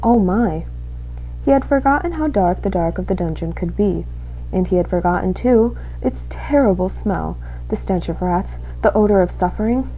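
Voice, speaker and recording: Young woman student reading a kids book out loud, mellow, faint noise behind. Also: medium pitch, expressive, moderate pace, poor audio. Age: young adult. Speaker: student reader. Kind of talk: expressive children's storybook narration.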